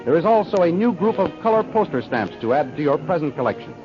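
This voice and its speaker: High-voiced American old-time radio announcer. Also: male voice, sing-song, quick pace. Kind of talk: 1930s radio serial announcing, scripted. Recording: muffled old radio broadcast recording. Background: music.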